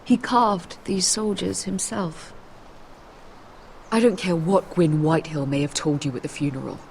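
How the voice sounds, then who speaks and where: A woman's voice: fairly low pitch, unhurried, gravelly, darkish tone. A woman playing a protective, anxious noblewoman in a video game drama, speaking with earnest emphasis. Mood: anxious, pleading. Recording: faint background noise.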